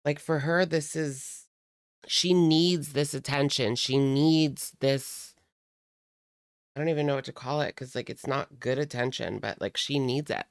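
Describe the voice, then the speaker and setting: A woman with a gravelly, fry-heavy voice talks through casual reaction commentary. She is sassy and slangy, and the sound is dry and close, with hardly any room on it. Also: a bass voice, measured pace, no echo. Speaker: reaction channel host.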